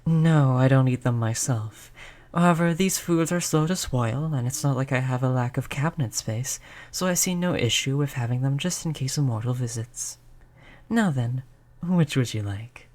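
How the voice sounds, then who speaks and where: A performer voicing a polite vampire who looks like a child, gentle, reassuring and chatty in an audio roleplay. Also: medium pitch, normal speed, lightly acted. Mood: attentive, reassuring. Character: polite vampire turned young, stuck looking like a child. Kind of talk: audio roleplay spoken to the listener.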